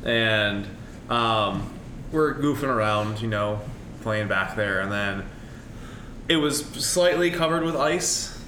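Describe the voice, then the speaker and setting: A man tells a rambling story to a group, filling his pauses with ums. His voice sits low yet sounds bright and forceful. The room is echoey, with faint noise behind him. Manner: rambling, filler-heavy with frequent ums. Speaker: coach telling a story. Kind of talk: casual storytelling.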